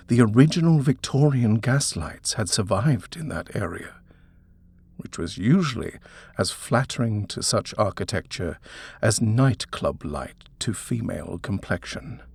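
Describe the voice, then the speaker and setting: A man reads a story aloud as a narrator. His voice is low and husky, with lively movement in pitch as he delivers the scripted prose dramatically. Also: no room sound. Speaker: audiobook narrator.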